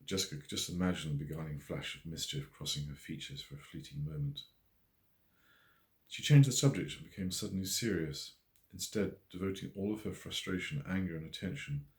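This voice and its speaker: A man reading scripted narration from his own novel as an audiobook. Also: low voice. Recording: echoey room.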